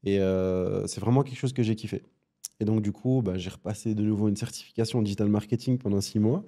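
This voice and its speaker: A man in his thirties from Belgium, a podcast guest talking casually in a studio, halting with many fillers. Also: lowish voice, mellow. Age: 30s. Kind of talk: casual interview chat.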